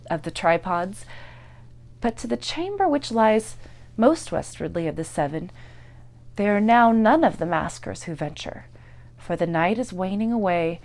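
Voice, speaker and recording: Woman giving a dramatic literary reading. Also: mid-range voice. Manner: theatrical, deliberate phrasing with strong swells and hushes. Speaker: actor and narrator. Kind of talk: dramatic literary story narration.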